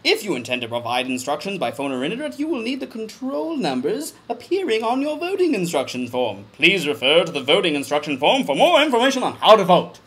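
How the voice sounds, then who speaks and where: A man giving a mock-dramatic comedic reading of a formal document, with overblown emphasis and deadpan muttered asides. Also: high voice, fairly quick, few pauses, husky.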